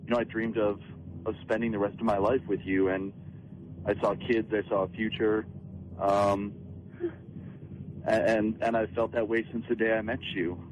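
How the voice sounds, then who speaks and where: A man calling in to a radio show, speaking personally in a halting, hesitant way. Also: on the low side, level tone. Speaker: phone-in guest on a radio show. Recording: noisy phone call on radio.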